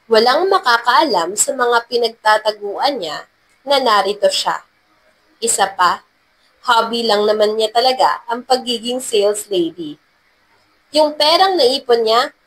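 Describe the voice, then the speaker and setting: Woman narrator, fairly high voice, scripted romance storytelling. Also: a bit bright, a little hiss or hum, low-quality recording.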